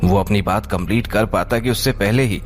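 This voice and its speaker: A man's voice: lowish voice, a little fast. A man narrating a scripted audio story in a dramatic style. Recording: music behind the voice. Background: background music.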